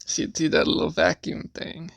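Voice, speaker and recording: A man's voice: fairly high pitch, completely flat delivery, moderate pace, vocal fry, a bit bright, no room sound, clean studio sound. A young man giving casual gameplay commentary in a slangy, offhand way with some swearing. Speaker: gamer playing on camera or stream.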